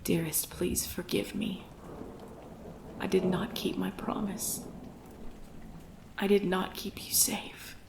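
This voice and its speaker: A woman voicing a commanding female vampire clan leader, possessive and tender, in a dark, solemn ASMR roleplay, often dropping into a whisper. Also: on the low side, little pitch movement, slow pace, gravelly, pauses often, exaggerated voice. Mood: remorseful, vowing.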